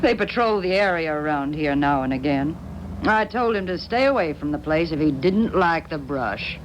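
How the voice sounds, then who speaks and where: A woman's voice: deep voice, animated, moderate pace, dull audio. An actress playing a tough, grasping old American country woman in a radio drama, with blunt, folksy, drawling speech. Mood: grumbling, wary. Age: older. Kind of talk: 1970s radio drama acting. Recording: noisy old radio broadcast recording.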